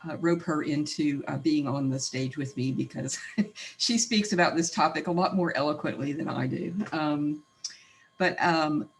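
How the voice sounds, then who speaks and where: A man's voice: on the high side, moderate pace, creaky, poor audio. A man giving an informal self-introduction, hesitant and good-humoured. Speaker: meeting participant, diversity director.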